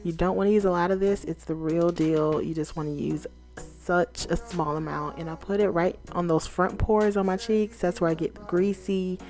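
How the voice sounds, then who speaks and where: A woman's voice: on the low side, a little mellow. A woman beauty vlogger giving a casual, upbeat makeup tutorial, chatting straight to her viewers.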